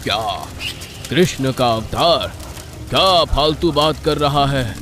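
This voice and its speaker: A man voicing an imperious demon king in a melodramatic animated story, commanding and scornful. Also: mid-range voice, slow pace, faint music behind.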